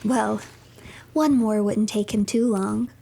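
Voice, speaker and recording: A woman streamer narrating a video game playthrough as a third-person story, in a measured storyteller manner. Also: mid-range voice, fairly even intonation, vocal fry, dark tone, a little hiss or hum.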